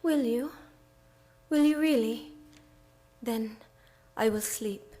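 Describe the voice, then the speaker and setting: An actress playing a frail, frightened, loving young gentlewoman in an old-time radio drama. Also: a little high, slow pace. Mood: frightened, pleading.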